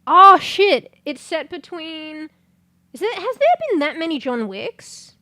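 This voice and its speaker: A congested woman chatting casually on a livestream, rambling, swearing and breaking into sudden loud exclamations as she reads things aloud. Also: very high voice, very animated, takes it slowly, dry recording, clean studio sound. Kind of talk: casual stream chat, reading aloud.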